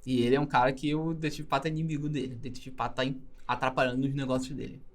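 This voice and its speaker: A man's voice: mid-range voice, steady tone, very fast. A young man with a Brazilian Portuguese accent, chatting casually and enthusiastically as an interview guest who works in animation. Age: young adult.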